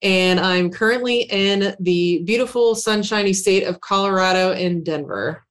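A woman's voice: medium pitch, normal speed. A woman in her thirties from Pittsburgh, introducing herself in a friendly, upbeat way. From Pittsburgh, Pennsylvania. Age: 30s. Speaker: podcast guest.

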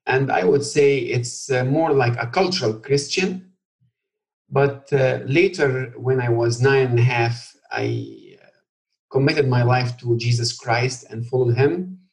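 Man from Egypt, middle-aged, podcast guest, earnest, halting with pauses, mid pitch. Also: expressive, vocal fry. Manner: earnest, thoughtful, frequent "uh" pauses. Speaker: guest scholar. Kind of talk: podcast interview.

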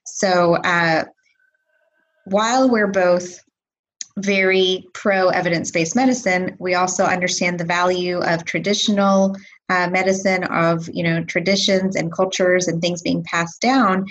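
A woman pediatrician co-hosting a podcast, explaining in a measured, careful way. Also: lowish voice, fairly even intonation, highs cut off, slight background noise. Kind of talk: casual podcast chat.

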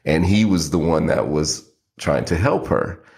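A man with a very low voice co-hosting a podcast in easygoing conversation. He tells anecdotes, often restarting his sentences as he goes. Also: expressive, measured pace, vocal fry, darkish tone. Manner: storytelling, frequent restarts and fillers. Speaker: podcast co-host. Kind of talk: casual chat.

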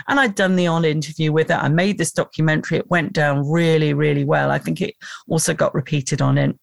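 A woman, a documentary producer and presenter, telling animated stories in an interview and laughing often. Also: low voice, vocal fry, dry recording, clean studio sound. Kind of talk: conversational interview storytelling.

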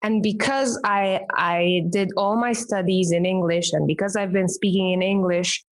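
Female guest, Romanian native speaker, coach, measured formal delivery, mid-pitched. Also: steady tone. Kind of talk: podcast interview answers.

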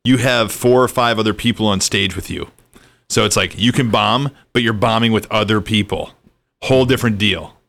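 A man hosts a relaxed conversation and reflects in an easygoing way. His voice is lowish in pitch but bright and forceful. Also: moderate pace, gravelly. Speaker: podcast host. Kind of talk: casual conversation.

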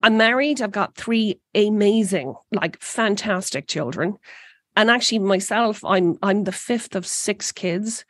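A woman's voice: mid-range voice, unhurried, fairly bright tone, dry recording. A woman in her forties with an Irish accent, the guest in an interview, talking with enthusiasm and often restarting her sentences. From Ireland. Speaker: energy company president, guest. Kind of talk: interview conversation.

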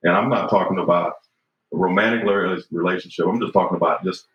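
A man's voice: sits low, quick pace. A man in his fifties, a podcast guest talking earnestly and reflectively, often checking in with "right?". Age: 50s. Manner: earnest, reflective, frequent "you know" and "right?". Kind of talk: podcast conversation. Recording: roomy, dull lo-fi sound.

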